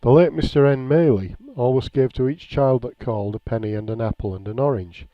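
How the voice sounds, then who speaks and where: A man reading a nostalgic written memoir aloud in a measured, plain storytelling way. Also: medium pitch. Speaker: reader of a written local-history account. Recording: dull, lo-fi, echoey room.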